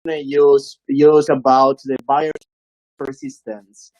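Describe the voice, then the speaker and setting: A man's voice: mid-range voice, flat delivery, slow pace, lo-fi sound, over a noisy crowd. A man asking a guest speaker questions in a webinar, hesitant and full of fillers. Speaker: audience member asking questions.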